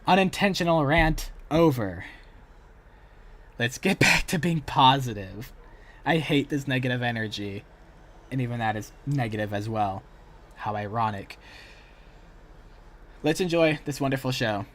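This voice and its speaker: A man's voice: mid-range voice, a little slow, pauses often, fairly bright tone, a little hiss or hum. A man chatting casually as the host of a reaction livestream. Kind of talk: casual reaction stream chat.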